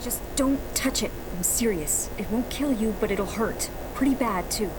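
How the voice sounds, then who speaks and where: A woman voicing a determined young rebel leader who gives steady orders. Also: fairly high pitch, normal speed, background noise, lightly acted. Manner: steady, directing, urgent in action.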